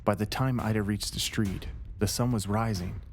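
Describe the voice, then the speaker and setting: Lowish male scary-story narrator over music. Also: steady tone. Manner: measured, short deliberate phrases. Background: background music.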